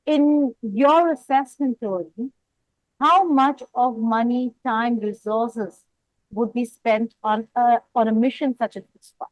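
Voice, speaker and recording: Higher-voiced woman news anchor, close dry sound. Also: dry recording, rough recording. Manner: deliberate, builds long questions with emphasis on key words. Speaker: news anchor interviewing a guest. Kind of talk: live news interview questioning.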